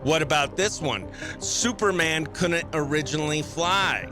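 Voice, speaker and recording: A man's voice: a little high, unhurried, music in the background. An exuberant American man hosting a morning radio show with playful, chatty banter and effusive greetings. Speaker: morning radio host and comedian.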